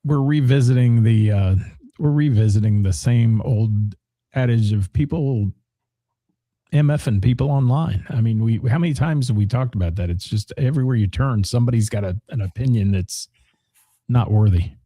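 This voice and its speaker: A man's voice: mid-range voice, moderate pace, mellow, dry recording. A man co-hosting a radio talk show, chatting casually and reading listener comments, joking and rambling while losing his voice.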